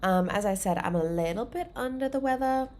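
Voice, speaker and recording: A woman's voice: a little high, expressive, brisk, darkish tone. A woman hosting her own livestream, chatting casually and full of fillers, a bit congested and under the weather. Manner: chatty, filler-heavy, upbeat. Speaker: livestream host.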